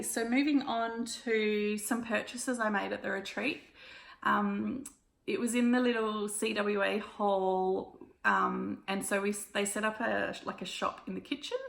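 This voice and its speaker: An Australian woman with a croaky, hoarse voice, chatting casually through a stitching haul with lots of ums and little laughs. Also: medium pitch, a bit bright, echoey room. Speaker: cross-stitch vlogger. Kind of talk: casual show-and-tell recap.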